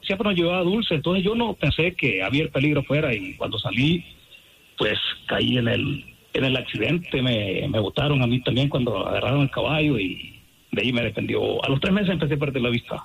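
A man's voice: mid-range voice, animated, normal speed, creaky, a bit bright, a little hiss or hum, low-quality recording. A man from El Salvador calling in to a radio show, speaking politely and hesitantly with many fillers. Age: adult student. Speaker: caller. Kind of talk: phone-in call to a radio show.

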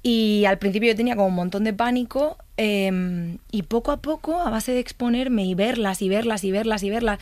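Casting: lively woman, dry booth mic. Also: medium pitch, fairly quick, hardly any pauses, dry recording. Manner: upbeat, storytelling. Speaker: psychologist guest. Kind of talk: casual podcast chat.

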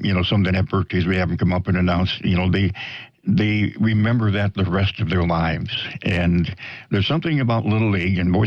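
A man with an American accent hosting a casual radio interview in a halting, rambling, folksy way, on dull audio. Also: low-pitched, no echo. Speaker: radio host.

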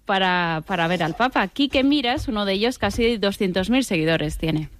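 A woman's voice: medium pitch, animated, moderate pace, no room sound, studio quality. A woman reporting on internet trends in a chatty, upbeat and informal way. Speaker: online-trends correspondent.

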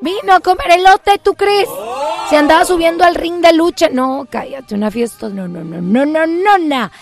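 A high-pitched Mexican woman hosts a festive radio show from her studio booth. She talks with a Mexican accent, teasing and joking, and now and then shouts a cheer. Faint music plays behind her. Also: normal speed. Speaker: radio host. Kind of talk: lively radio show hosting.

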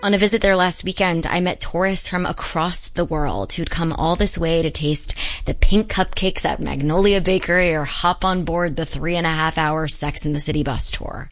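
Female reporter, low register, scripted feature narration, measured. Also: steady tone.

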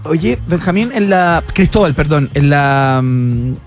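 Chatty male interviewer, slightly high voice. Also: expressive, takes it slowly, soft background music. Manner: chatty, frequent affirmations. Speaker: interview host. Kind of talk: casual interview chat.

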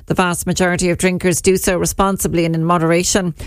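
A woman with an Irish accent reading a radio news bulletin in a measured, even way at a studio microphone. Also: lowish voice, no room sound. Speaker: newsreader.

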